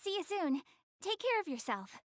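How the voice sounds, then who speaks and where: A woman playing a proud, self-conscious young goddess girl in an anime-style game, expressive, easily flustered and earnest. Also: very high-pitched, normal speed, no echo, clean studio sound. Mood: earnest, determined.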